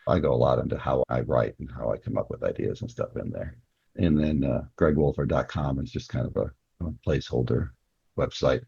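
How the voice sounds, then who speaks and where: A man's voice: a bass voice, brisk, gravelly, dark tone, slightly rough, dry recording, lo-fi sound. A man answering as an interview guest and author, explaining things in a casual, conversational way.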